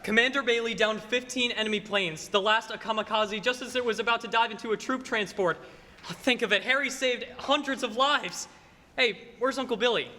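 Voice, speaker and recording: A young man, a high school actor, playing an earnest, restless small-town family man who stammers in a 1940s-style radio play. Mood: excited, proud. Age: young adult. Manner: stammering, halting, excitable. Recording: hall reverb, noisy live stage recording.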